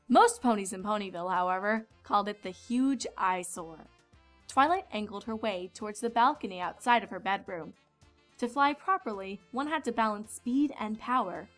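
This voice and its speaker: A woman's voice: medium pitch, fairly bright tone. A woman reading scripted storybook narration as the narrator. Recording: music behind the voice.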